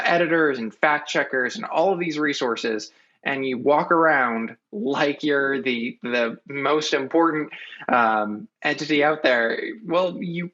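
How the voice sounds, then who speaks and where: Man, American accent, sharp bright tone, mid pitch, earnest, deliberate, political commentary, dull recording. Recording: dull, lo-fi audio.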